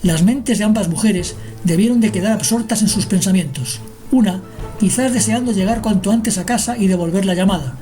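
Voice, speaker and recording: Female storyteller for radio fiction, scripted delivery, soft-edged warm timbre, medium pitch, music bed. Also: fairly quick. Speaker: narrator. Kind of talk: scripted radio drama narration. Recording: music behind the narration.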